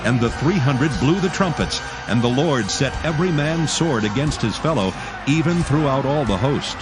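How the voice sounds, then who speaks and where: A man's voice: medium pitch, animated, normal speed. Male scripture narrator over prominent music.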